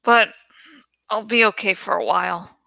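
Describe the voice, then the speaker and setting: A woman's voice: mid-range voice, fairly even intonation, moderate pace, creaky, pushed and bright, dry recording. A woman voicing a stoic teenage boy leader in a story reading, terse and matter-of-fact. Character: Jake, steady teenage boy leader, wounded but stoic.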